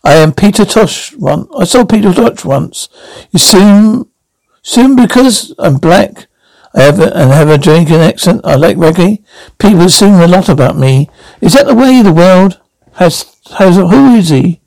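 A man's voice: high-pitched, expressive, normal speed, creaky, a little mellow, no room sound, poor audio. A man reading the lines of a proud, indignant pastor in a clipped manner. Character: proud middle-aged male pastor, indignant.

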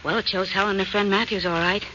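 A woman's voice: mid-range voice, fairly even intonation, fast talker, sharp tone, lightly acted. An actress playing a perky, loyal young woman helping her detective sweetheart in a 1940s radio drama. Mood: curious, hopeful. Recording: muffled, faintly noisy old radio recording.

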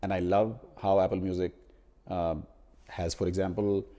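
A man's voice: sits low, little pitch movement, moderate pace, mellow. A man whose first language is Hindi, co-hosting a casual tech discussion and telling a consumer story in a thoughtful way, with frequent restarts. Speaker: co-host.

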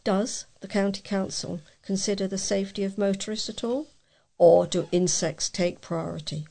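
A woman reading letters aloud as a volunteer news reader, in a measured way with the odd stumble. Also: medium pitch, fairly even intonation, gravelly, warm tone.

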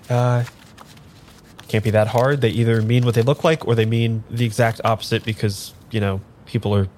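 A man's voice: fairly low pitch, a little mellow, a little hiss or hum, close to an everyday voice. A man in an improvised audio drama playing a frantic, foul-mouthed amnesiac who stammers and mutters as he works through tarot cards. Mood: frustrated muttering. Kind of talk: improvised audio drama, halting naturalistic delivery.

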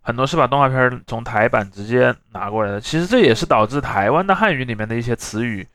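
A man's voice: medium pitch, expressive, fast talker, a bit bright, dry recording, studio quality. A man hosting a podcast, explaining things conversationally and discursively, often checking for agreement. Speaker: podcast host.

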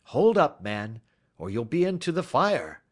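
A man playing a shrewd, self-assured gentleman detective in an audiobook, speaking precisely with suave, gentle mockery. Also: mid-range voice, very animated, measured pace, voice pushed up. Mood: commanding, scornful.

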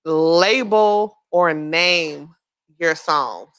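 Sassy, bright-voiced woman in her thirties. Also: sits low, drawn-out words. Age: 30s. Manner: blunt, sassy, profane. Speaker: interview co-host. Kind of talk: casual, slangy chat.

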